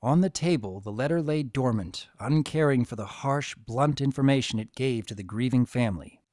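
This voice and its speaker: A man's voice: medium pitch, moderate pace, darkish tone, dry recording, studio quality. A man reading a story aloud as a narrator, in a dramatic scripted storytelling style. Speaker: narrator of a fan fiction story.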